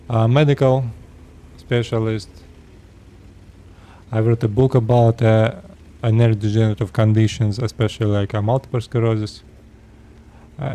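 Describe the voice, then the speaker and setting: A 36-year-old man from Russia with a Russian accent, speaking halting second-language English as he talks casually to his viewers. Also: on the low side, warm tone. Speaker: vlogger. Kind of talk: casual personal talk to camera.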